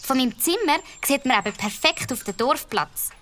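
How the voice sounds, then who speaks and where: A chatty young girl with a Swiss German accent. Her voice is high, bright and bouncy. A faint hiss runs under it in this children's radio play. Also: expressive, normal speed. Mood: eager.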